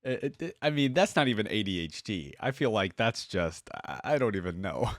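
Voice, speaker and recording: A man's voice: lowish voice, sing-song, normal speed, fairly bright tone, no echo, clean studio sound. A man hosting a reaction video, giving casual commentary and thinking aloud as he goes.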